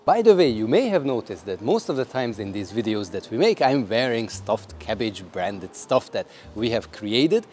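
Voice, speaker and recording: A man in his thirties with a Hungarian accent hosts a travel vlog. His pitch moves in a lively way as he talks casually to camera out on a street, with music behind him. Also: moderate pace, few pauses. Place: outdoors on a narrow city street.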